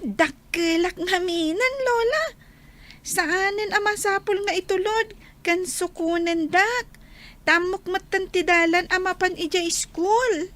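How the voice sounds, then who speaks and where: A woman's voice: very high voice, takes it slowly, fairly bright tone, exaggerated voice. A woman playing an earnest young schoolchild in a radio drama, speaking in a childlike way. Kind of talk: Ilocano radio drama acting.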